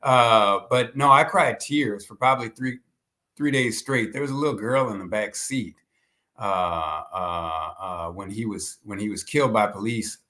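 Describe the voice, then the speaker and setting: Low-voiced man giving deliberate expert commentary. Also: sharp tone. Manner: deliberate, repeating words as he builds points. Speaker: guest, history professor.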